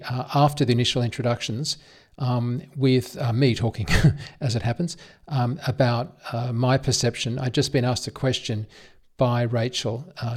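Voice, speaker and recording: A man's voice: medium pitch, a little mellow. A man with an Australian accent, hosting a podcast and casually introducing a recorded panel, with frequent fillers. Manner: hesitant, many fillers. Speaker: podcast host.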